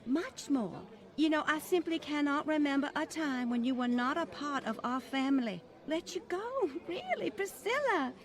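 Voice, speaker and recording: A high, fluttery voice for a genteel grandmother of means, acted by a woman. She is prim and condescending, wrapped in affected politeness and easily flustered. The delivery is old-time radio drama. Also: expressive, moderate pace, few pauses. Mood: gushing, patronizing. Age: older.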